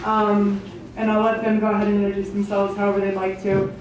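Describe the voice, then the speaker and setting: A woman is welcoming people to a gathering as its host. Her delivery is halting and unscripted, with little rise and fall in pitch. The room echoes heavily, and she sounds some way from the mic with faint noise around her. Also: monotone, a little mellow, far from the mic. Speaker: event host, organisation director. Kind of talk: informal welcome speech to a gathering. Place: public meeting in an echoey indoor venue.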